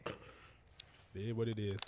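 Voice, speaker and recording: A man's voice: lowish voice, little pitch movement, takes it slowly, darkish tone, slight background noise. A man hosting a casual podcast, talking in a laid-back, slangy way. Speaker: podcast host.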